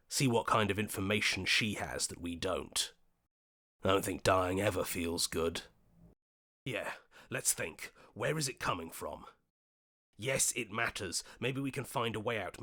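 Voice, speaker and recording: A man voicing a level-headed, questioning hero in a video game, speaking earnestly in short deliberate lines. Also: fairly low pitch, pushed and bright, exaggerated voice. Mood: determined, thoughtful.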